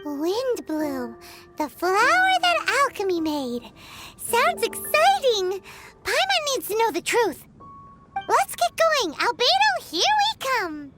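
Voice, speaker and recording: A tiny, excitable fairy companion chatters in a squeaky, childlike voice, her pitch bouncing wildly up and down. She is eager and talkative, and speaks of herself in the third person. Loud game music plays beneath her. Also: slow, heavily acted. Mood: excited. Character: small floating female fairy companion, excitable. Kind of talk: video game dialogue.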